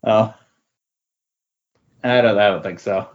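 A man's voice: on the low side, slow pace. A man chatting casually about comic books as a podcast co-host, in a hesitant, agreeable way. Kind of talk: casual comic book chat. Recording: dull, lo-fi audio.

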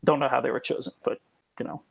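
A man's voice: medium pitch, fairly even intonation, quick pace, vocal fry, no echo. A man speaking as a podcast guest, explaining at length in a casual way, with frequent ums and self-corrections. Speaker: guest expert.

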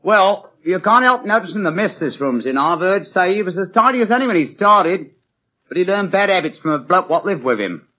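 A man's voice: high voice, animated, brisk, sharp tone, heavily acted. Cheeky Cockney workman, muffled old radio. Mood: cheeky deference. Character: disguised cockney workman, deferential yet cheekily impertinent. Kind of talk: 1940s radio acting.

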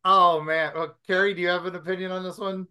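Male podcast host, upbeat and jokey, bright slightly high voice. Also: little pitch movement, quick pace, clean tone. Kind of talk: casual group chat, reading listener emails.

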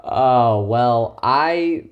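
A man's voice: mid-range voice, extremely slow, smooth, muffled recording. A man chatting casually and teasingly as a podcast co-host. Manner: playful, teasing. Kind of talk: casual chat.

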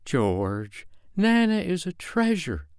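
A man voicing a gentle, placid, wistful wife and mother in a storybook, soft and tender in manner. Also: high-pitched, wildly swooping pitch, takes it slowly, smooth, dry recording, studio quality, voice pushed up, full character voice. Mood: reassuring.

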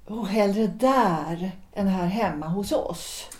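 A woman's voice: mid-range voice, lightly acted. Woman acting a composed, guarded wife, roomy echo. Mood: eager. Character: composed, guarded wife of a politician, former singer. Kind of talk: period stage-play audio drama acting. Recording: echoey room.

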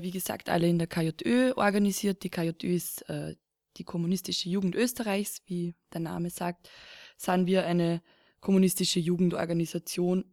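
A young woman from Lower Austria, hosting a casual podcast with frequent hesitations and fillers. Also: a little mellow, no room sound, studio quality. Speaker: podcast host.

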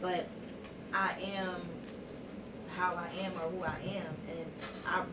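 Brief: woman podcast guest, blunt and outspoken; lowish voice, off-mic in a roomy space with loud noise behind. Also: little pitch movement, slow. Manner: blunt, outspoken, laughs easily. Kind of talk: casual chat. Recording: echoey room, distant, loud noise.

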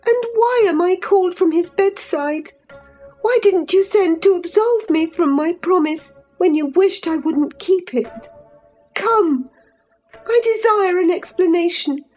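A woman's voice: very high-pitched, animated, measured pace, a little mellow, faint music behind, voice pushed up. A woman voicing a scornful, passionate young lady in a storybook, scolding with emphatic, imperious phrasing. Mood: indignant, impatient.

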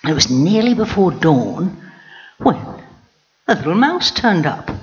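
A woman narrating in character as a proud, wry lioness queen in a storybook tale, heard in a dull lo-fi recording. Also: on the low side. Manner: wry, lively, drawn-out delivery.

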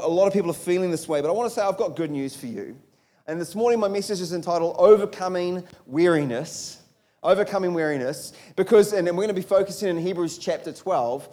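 Chatty New pastor preaching. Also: male voice, high voice, dark tone. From New Zealand. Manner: conversational, many restarts and rhetorical questions. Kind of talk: sermon. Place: church hall. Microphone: handheld or stage mic.

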